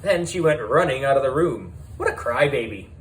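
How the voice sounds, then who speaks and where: A man narrating a children's audiobook in an excitable, playful storytelling manner. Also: mid-range voice, brisk.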